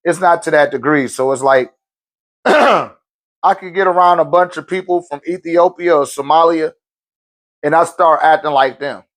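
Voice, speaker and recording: A man's voice: on the high side, moderate pace, fairly bright tone. Casual, slangy man on a live stream. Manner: loose, conversational, slangy, laughs often. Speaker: spiritual live-stream host. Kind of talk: casual live-stream talk answering viewer questions.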